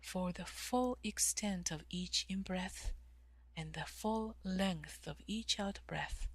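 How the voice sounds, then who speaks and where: A woman leading a guided meditation in a calm, measured way, with long pauses and soft, breathy phrase endings. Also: on the low side, dry recording. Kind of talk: guided meditation, slow instruction.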